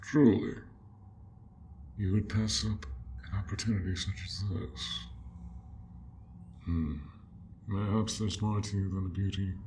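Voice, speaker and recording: A man voicing a gentle, awkward dragon in an audio roleplay, with formal, old-fashioned, hesitant phrasing, speaking straight to the listener. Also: deep voice, background noise. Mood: proud, generous, then puzzled, softening. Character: courteous, awkward, gentle male dragon, formal and hoard-proud.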